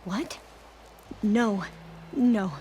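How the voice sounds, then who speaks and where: A woman's voice: medium pitch, steady tone, extremely slow, dark tone, slight background noise. A woman playing an earnest, nervous young scholar in a video game, speaking with some hesitation. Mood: nervous, evasive. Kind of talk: video game cutscene acting.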